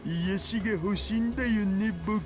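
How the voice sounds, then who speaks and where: An actor playing a lazy, geeky otaku hacker, whiny and sheepish, in a Japanese visual novel. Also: male voice, high voice, fast talker, natural voice. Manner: whiny, wheedling, slangy.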